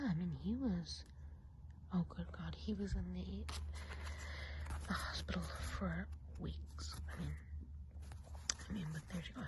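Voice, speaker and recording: A woman's voice: fairly low pitch, noisy background, close to an everyday voice. A woman playing a whispering, gossipy woodland witch. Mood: gossipy, confiding. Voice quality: soft breathy whisper. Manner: confiding, gossipy, asks the listener questions. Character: chatty gossipy woodland witch planning enchanted festival. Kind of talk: ASMR roleplay, whispered.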